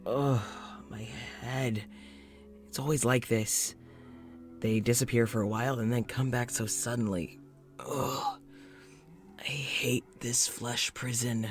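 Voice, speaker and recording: A man playing a weary, frustrated doctor with memory loss in an audio drama, recording a spoken journal with halting, sighing delivery. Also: medium pitch, fairly even intonation, faint music behind, close to an everyday voice. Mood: pained, weary. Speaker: voice actor playing podcast's lead character.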